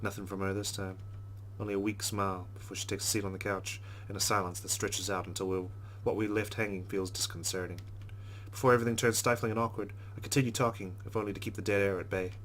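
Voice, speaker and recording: A man's voice: fairly low pitch, level tone, fast, a bit bright, a little hiss or hum. A man who hosts a let's play, reading story narration aloud in a wry, conversational way with casual asides.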